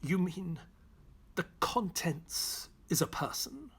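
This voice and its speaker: An actor playing an earnest, curious doctor and loyal sidekick in an audio drama, polite, questioning and easily astonished. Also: male voice, high-pitched, very animated, slow, fairly bright tone, breathy edge, full character voice. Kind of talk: audio drama acting with first-person narration.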